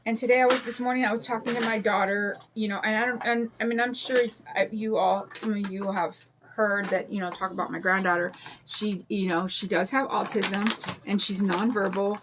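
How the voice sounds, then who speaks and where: Older woman, raspy voice, rambling chatty craft host, faint background noise. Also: medium pitch, steady tone, moderate pace. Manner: chatty, rambling, greets viewers by name. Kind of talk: casual chat during a craft demo.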